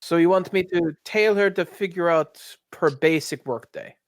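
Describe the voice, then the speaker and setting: A man's voice: high voice, moderate pace, gravelly, dry recording, studio quality. A man narrating and speaking in character during a casual tabletop role-playing podcast.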